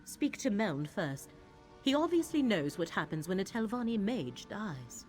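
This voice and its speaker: An actress playing a grave, troubled woman mystic in a fantasy video game, speaking in a measured, formal way. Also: mid-range voice, sing-song, darkish tone, faint music behind. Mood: grave, urgent. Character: grave, troubled woman mystic serving an eldritch fate god.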